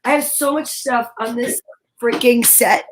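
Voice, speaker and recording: A woman's voice: a little high, fairly even intonation, a little slow, background noise. A woman co-hosting a casual comedy live stream, chatty and exuberant as she banters and greets viewers.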